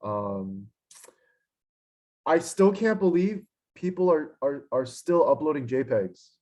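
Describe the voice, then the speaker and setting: A young man with a hoarse voice teaches a class over a video call. He scolds the students in a frustrated way, filling his talk with "um" and "like." The sound is that of a computer microphone. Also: on the high side, very animated, slow, a little mellow. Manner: scolding, frustrated, many fillers. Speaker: instructor. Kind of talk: online lecture. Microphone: computer mic on a video call.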